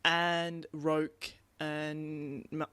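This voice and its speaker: A man co-hosting a podcast, talking through books casually in an animated, emphatic way and often repeating words. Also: fairly high pitch, very slow, smooth. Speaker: podcast co-host. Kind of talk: casual book discussion.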